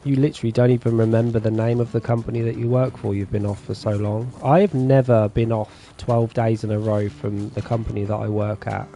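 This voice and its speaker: Relaxed man with gravelly voice. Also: on the low side, brisk, few pauses. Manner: relaxed, chatty, reads chat aloud. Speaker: streamer. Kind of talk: casual chat with viewers while gaming.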